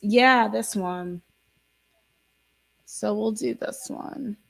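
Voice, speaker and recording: A woman talking in a loose, casual way, with a gravelly, fry-heavy edge to her voice. Her sentences run on, full of "like" and restarts, and her pitch sits in a middle range. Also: extremely slow. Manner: rambling, lots of fillers and restarts. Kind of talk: casual chat.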